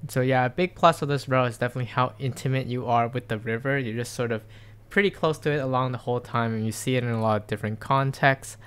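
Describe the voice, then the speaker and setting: A young man talks his way along a bike trail, rambling and upbeat, full of ums and restarts. His voice is smooth and clear, in a middle pitch, caught outdoors by a camera on his handlebars. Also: steady tone, fast talker. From Seattle area. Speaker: cycling vlogger. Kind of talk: casual narration while riding. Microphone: camera mounted on bike handlebars.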